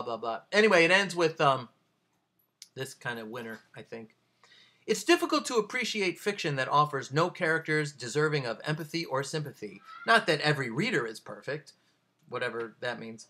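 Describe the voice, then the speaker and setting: A man speaking casually to a camera as an author, in a halting, digressive way with dry, self-deprecating deadpan humour. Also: on the high side. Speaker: author reading a bad review of their own book. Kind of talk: casual video monologue with read-out excerpts.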